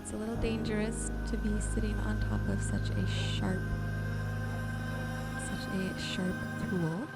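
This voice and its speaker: A woman softly and gently narrating her gameplay in an ASMR style, musing to herself as she goes. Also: medium pitch, a little slow, loud music behind the voice. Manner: soft-spoken, gentle musing to herself while sorting. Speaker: gaming video host.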